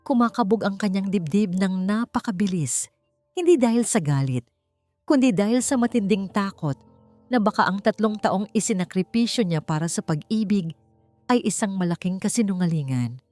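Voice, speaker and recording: A woman's voice: medium pitch, lively intonation, normal speed, a little mellow, no echo, soft background music. A woman with a Filipino accent narrates a scripted dramatic story in an emotive, solemn way.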